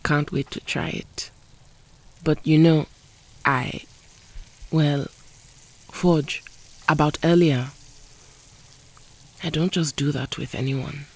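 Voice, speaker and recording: A woman's voice: low-pitched, fairly even intonation, slow, long pauses, breathy edge, full character voice. A woman playing a proud, guarded mutant heroine in an audio drama, with music under her voice. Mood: flirtatious, coy.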